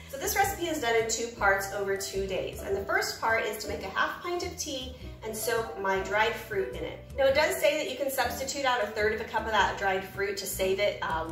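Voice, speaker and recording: A woman's voice: mid-range voice, reverberant, far from the mic. An American woman hosting a cooking vlog from her home kitchen, chatty and upbeat, with rambling asides. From United States. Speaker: cooking channel host.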